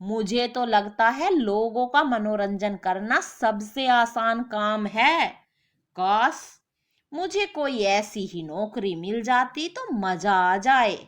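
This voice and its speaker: Lazy cartoon husband, voiced by a woman, whiny, self-justifying, slow delivery, lively swings in pitch. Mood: smug, carefree. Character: lazy, whiny adult husband making excuses. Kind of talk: animated moral story character.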